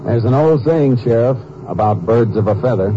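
A man's voice: mid-range voice, expressive, moderate pace, natural voice. A man playing a terse, authoritative Texas Ranger in 1950s radio drama style. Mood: grimly watchful. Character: steady, tough Texas Ranger on a case. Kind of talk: 1950s radio drama acting with first-person narration. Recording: muffled, hissy old radio recording.

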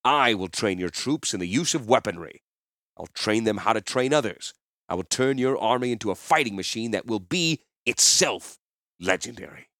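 A man's voice: fairly low pitch, animated, moderate pace, pushed and bright, dry recording, clean studio sound. A man voicing a swaggering, defiant warrior hero who speaks in a commanding way in a fantasy audiobook. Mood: confident. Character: boastful, defiant legendary male warrior hero.

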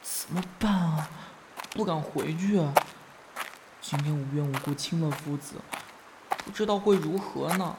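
A man's voice: on the high side, a little slow, pauses often, very noisy. A man playing a shy, jealous young fox spirit in a Chinese period audio drama, with a whiny, pouting, emotional delivery. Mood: worried, whiny.

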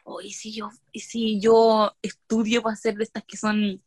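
A young woman with a Chilean accent chats casually on a podcast, circling back and restarting her thoughts as she talks. Her voice is a little high, and the recording is dry, close and without room echo. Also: completely flat delivery, moderate pace, darkish tone. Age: young adult. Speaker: podcast co-host. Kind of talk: casual chat.

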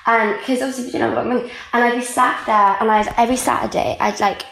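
A woman's voice: a little high, steady tone, fast, creaky, a bit bright, roomy sound, rough recording. A young woman from Wales with a Welsh accent, whose first language is Welsh, chatting freely as an interview guest and filling her talk with "like". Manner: chatty, lots of "like" fillers. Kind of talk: casual conversational interview.

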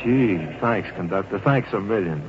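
A man's voice: fairly low pitch, normal speed, natural voice. An actor playing a polite, eager young American soldier in an old-time radio drama, hesitant and earnest as he stammers over his words. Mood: grateful. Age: young adult. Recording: muffled, noisy old radio recording.

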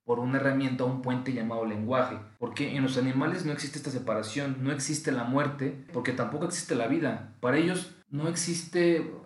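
A man's voice: mid-range voice, moderate pace, few pauses, roomy sound. A man with a Mexican Spanish accent, hosting a podcast and giving a reflective philosophical monologue, posing rhetorical questions and answering them himself. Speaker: podcast host.

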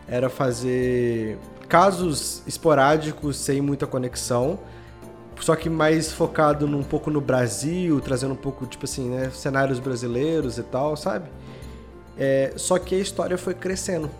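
Higher-voiced Brazilian man chatting as podcast guest. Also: unhurried, soft background music. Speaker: podcast guest, audio drama creator. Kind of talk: casual interview chat.

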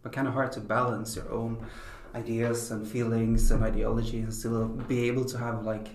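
A man's voice: mid-range voice, flat delivery, moderate pace, echoey room, slight background noise. A man from Sweden, a politician and former teacher, speaking as a guest on a podcast over a video call. He talks hesitantly and often restarts his sentences. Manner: hesitant, many restarts and fillers. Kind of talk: podcast interview.

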